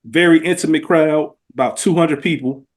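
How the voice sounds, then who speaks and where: A middle-aged man with a fairly high voice chats as a podcast guest. He is animated and emphatic, using slang and repeating words to drive a point home. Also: moderate pace. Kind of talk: casual chat, storytelling.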